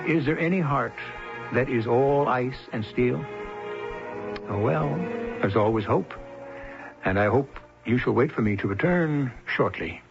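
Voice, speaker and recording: Man hosting old radio mystery show, deliberate theatrical delivery, dull lofi sound, music behind. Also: mid-range voice. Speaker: radio drama host. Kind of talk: theatrical scripted narration.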